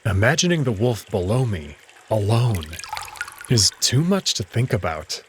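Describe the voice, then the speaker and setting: A man's voice: lowish voice, sing-song, a little slow, slight background noise. A man reading a visual novel aloud as first-person dramatic narration.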